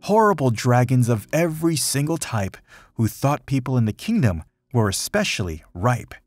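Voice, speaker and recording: A man reading a rhyming children's picture book aloud in an expressive, dramatic storytelling manner. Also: medium pitch, normal speed, dry recording, faint music behind. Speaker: storybook reader.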